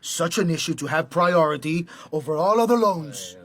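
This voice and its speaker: An actor playing a ruthless, mocking American assassin who chairs a criminal cartel in a radio drama, with a husky, commanding delivery. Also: male voice, on the high side, normal speed, natural voice. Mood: cold, businesslike.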